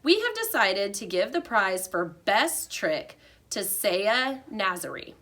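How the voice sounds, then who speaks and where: A woman voicing a brisk, reserved female schoolteacher in a storybook, with a measured, dry manner. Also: medium pitch, very animated, a bit bright, exaggerated voice. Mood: announcing.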